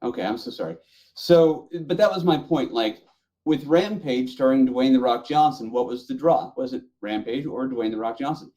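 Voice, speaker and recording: A man's voice: medium pitch, moderate pace, warm tone. A middle-aged man talking casually and enthusiastically about films as a podcast panelist. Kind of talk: casual chat about movies.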